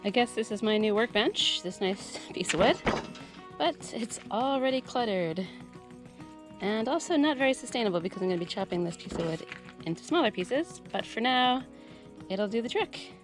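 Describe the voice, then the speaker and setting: A woman's voice: on the high side, normal speed, music in the background. Chatty woman with a stuffy nose. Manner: relaxed, chatty, self-deprecating. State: stuffy nose from a head cold. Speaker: DIY vlogger. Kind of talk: casual vlog narration while working. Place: off-grid tiny house workshop.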